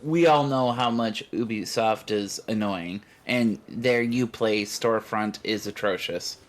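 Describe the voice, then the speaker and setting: A man's voice: on the low side, a little slow. A man co-hosting a podcast in loose, casual chat. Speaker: podcast co-host.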